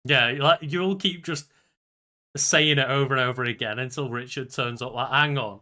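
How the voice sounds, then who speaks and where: Bright-voiced man in casual chat. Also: mid-range voice, moderate pace. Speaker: podcast co-host.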